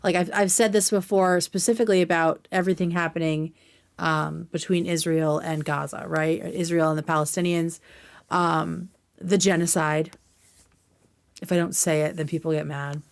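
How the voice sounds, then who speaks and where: A woman's voice: low-pitched, steady tone, moderate pace, creaky. A woman hosting a livestream, giving informal, opinionated commentary and explaining her points at length. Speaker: livestream host. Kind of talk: casual commentary reacting to clips.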